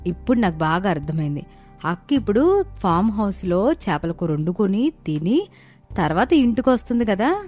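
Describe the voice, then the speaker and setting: A young, food-mad daughter-in-law from a cartoon family story. She is sly and conspiratorial, speaking expressively in a middle-pitched voice over music. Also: very animated, moderate pace, a little mellow. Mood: scheming. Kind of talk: dramatized moral-story cartoon acting.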